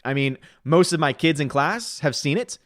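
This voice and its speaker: A man's voice: on the high side, expressive, no room sound, studio quality. A man in his thirties hosting a livestream, reading viewer comments aloud and giving his opinions in a chatty, opinionated way, his voice a bit tired. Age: 30s. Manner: chatty, opinionated, quick asides. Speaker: livestream host reading chat. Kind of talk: casual livestream chat.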